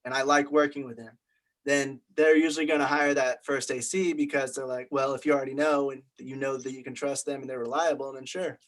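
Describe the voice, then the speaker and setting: A man's voice: medium pitch, little pitch movement, a little fast, low-quality recording. A man in a casual group discussion, talking in a conversational, hedging way. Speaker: media studies student.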